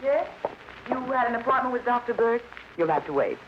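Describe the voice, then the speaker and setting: Arch, mocking middle-aged wife. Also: female voice, a little high, sing-song, brisk. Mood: arch, teasing. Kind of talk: 1950s American radio crime drama acting. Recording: dull old radio recording, faint noise.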